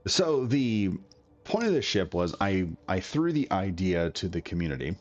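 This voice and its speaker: A man's voice: low voice, very animated, moderate pace, lo-fi sound. A man recovering from a cold, coughing now and then, giving a rambling, chatty commentary on a game build. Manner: rambling, chatty, self-correcting. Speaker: gaming video creator.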